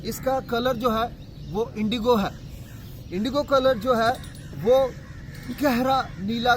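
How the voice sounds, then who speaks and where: A man teaching a health and yoga lesson, explaining in plain words and often pausing with fillers. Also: high voice, background noise. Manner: explanatory, with frequent hesitation fillers.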